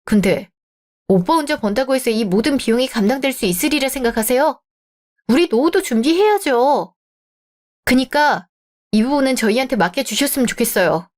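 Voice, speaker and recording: A woman's voice: sits high, lively intonation, moderate pace. A woman voicing a composed young bride-to-be in a radio drama, polite but firm as she argues her point.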